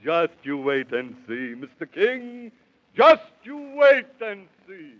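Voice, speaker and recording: A defiant jailed Santa in 1930s children's radio. The voice is high and swooping, declaiming in determined rhyme. The vintage recording is muffled. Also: male voice, very animated, slow pace, a bit bright, exaggerated voice. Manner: declamatory rhyming.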